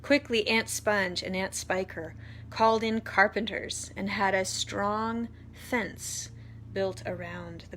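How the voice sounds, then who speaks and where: A woman reading a children's story aloud expressively, deliberate in manner, with dramatic pauses. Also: mid-range voice, fairly bright tone, slight background noise. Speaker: storytime reader, priest.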